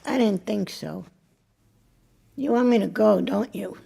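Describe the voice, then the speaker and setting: An actress playing a blunt, worldly older married woman in an intimate audio drama, with a husky, creaky voice and a wry, halting manner. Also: mid-range voice, mellow, heavily acted. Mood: wry, confiding. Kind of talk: intimate two-person audio drama acting.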